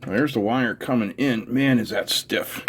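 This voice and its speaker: A man's voice: mid-range voice, unhurried. A middle-aged man narrating hands-on teardown work casually, unscripted, muttering to himself as he goes. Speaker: host of an electronics teardown video. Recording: noisy room recording with clicks.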